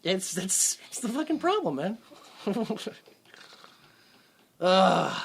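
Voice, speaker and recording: A man's voice: high voice, very animated, slow, gravelly, slightly rough. A man co-hosting a casual movie podcast, rambling with sarcastic asides. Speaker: podcast co-host.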